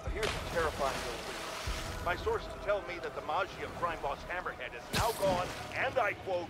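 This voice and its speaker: A man's voice: fairly high pitch, animated, normal speed, very noisy, natural voice. A man playing a bombastic radio ranter. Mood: ranting. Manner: bombastic, theatrical. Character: blustering older male radio pundit, hostile and dramatic. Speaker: radio host.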